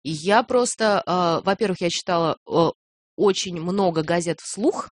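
A woman's voice: fairly low pitch, measured pace, dry recording. A woman from Novosibirsk, a radio news presenter, chatting as a guest in a radio studio, laughing often and hesitating with fillers. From Novosibirsk, Russia. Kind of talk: casual radio chat.

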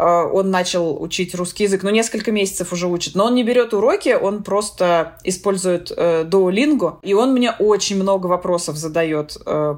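A woman from Russia with a slight Russian accent, a philologist answering interview questions thoughtfully, with frequent fillers and easy laughter. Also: medium pitch. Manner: thoughtful, hesitant with many fillers, laughs easily. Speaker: guest, philologist and Russian teacher in the US.

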